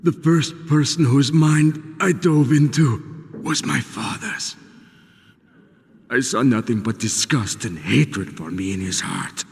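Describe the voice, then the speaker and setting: A man playing a dying masked psychic villain in a video game, bitter and nihilistic, with an eerily calm, theatrical, halting delivery and a breathy voice. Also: medium pitch, slight background noise. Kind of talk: dramatic video game voice acting. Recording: long cavernous reverb on the voice.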